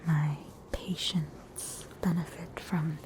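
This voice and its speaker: A woman's voice: sits low, fairly even intonation. An Australian woman voicing a soothing, faintly haughty witch doctor in a whispered ASMR roleplay. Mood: soothing, reassuring. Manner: slow, soothing, reassuring bedside manner.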